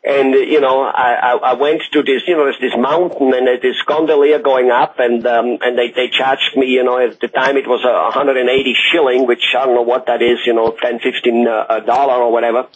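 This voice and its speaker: A man's voice: mid-range voice, little pitch movement, a little fast, few pauses. A man with an Austrian accent, a native German speaker, conducting a conversational interview in a rambling, wordy way. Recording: muffled audio with loud background noise.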